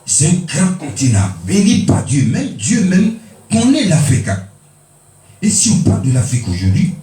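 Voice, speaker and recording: Emphatic preacher with swooping pitch. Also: male voice, huge pitch swings, normal speed, mellow. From Benin. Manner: emphatic, swinging pitch for stress. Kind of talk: sermon. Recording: echoey room, mic at a distance.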